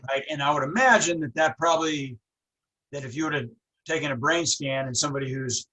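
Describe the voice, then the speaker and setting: A man's voice: on the high side, fairly quick, bright, forceful tone, low-quality recording. A man who stutters, hosting a casual podcast conversation and musing aloud, often ending his points with a questioning "right?". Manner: reflective, ends with "right?". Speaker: podcast host.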